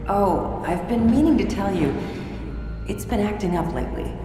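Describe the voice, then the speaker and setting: A woman's voice: medium pitch, sing-song, moderate pace, natural voice. A woman playing a resolute, cool young covert-ops agent in a video game, dry and matter-of-fact. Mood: earnest. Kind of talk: video game cinematic voice acting. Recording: music behind.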